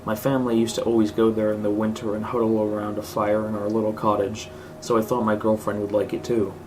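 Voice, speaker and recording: Man, horror story narrator, monotone delivery, clearly noisy recording. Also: on the low side, fast talker. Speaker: narrator reading horror stories.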